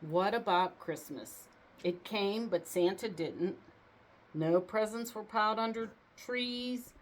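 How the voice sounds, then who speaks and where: Female storybook reader, unhurried, medium pitch, expressive, slight noise in the background. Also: rough recording. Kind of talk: expressive read-aloud storytelling with asides.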